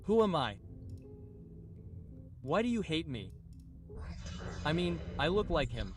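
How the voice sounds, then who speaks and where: A man's voice: fairly high pitch, sing-song, slow pace. A man giving gameplay commentary, repeating himself and asking questions in short phrases. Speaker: video narrator. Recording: distant playback over music. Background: music.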